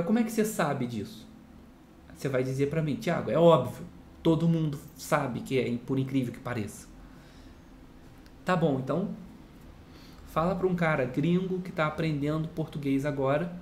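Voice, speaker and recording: A man's voice: fairly high pitch, darkish tone, a little hiss or hum. A man from Brazil teaching guitar in a casual lesson, explaining with rhetorical questions and a lot of "look here" asides. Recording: roomy sound with guitar playing.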